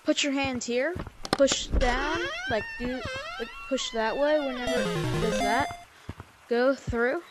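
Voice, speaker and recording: A woman casually walking through a VR game tutorial on a headset mic, rambling and correcting herself, with sudden excited outbursts. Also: high voice, takes it slowly, over loud music. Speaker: gameplay tutorial maker. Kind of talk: casual VR gameplay walkthrough.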